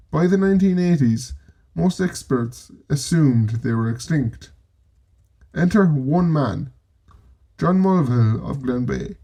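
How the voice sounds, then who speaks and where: An Irishman with a slightly higher voice narrates in a steady, scripted way, like a documentary presenter. His tone is mellow and warm, and he slips in dry, joking asides between the facts. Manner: measured storytelling with wry asides.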